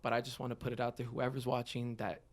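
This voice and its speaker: Male podcast guest, twenties. Heartfelt, open, conversational delivery at an average pitch. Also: flat delivery, fast talker. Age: 20s. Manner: earnest, heartfelt. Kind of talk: candid conversational interview.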